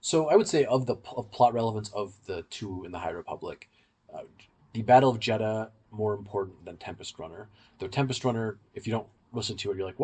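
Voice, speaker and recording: A man's voice: medium pitch, a little mellow, breathy edge. A man hosting a podcast, explaining things at length in a conversational discussion.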